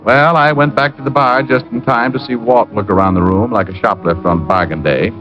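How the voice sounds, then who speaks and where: An actor playing a wisecracking hard-boiled private detective who also narrates, in an old radio drama. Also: male voice, medium pitch, steady tone, fairly quick, close to an everyday voice. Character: wisecracking tough private detective, adult man. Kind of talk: 1940s-50s radio drama acting. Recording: dull old radio broadcast with music.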